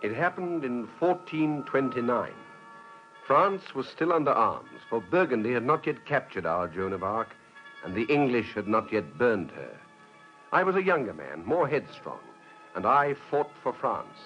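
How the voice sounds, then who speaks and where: A man's voice: medium pitch, big pitch swings, measured pace, fairly bright tone. An actor playing a headstrong French nobleman who tells of his youthful adventure in an articulate, dramatic radio-drama style. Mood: reflective, proud. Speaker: lead actor and narrator. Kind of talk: mid-century radio drama narration and acting. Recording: slightly dull vintage radio recording, music behind.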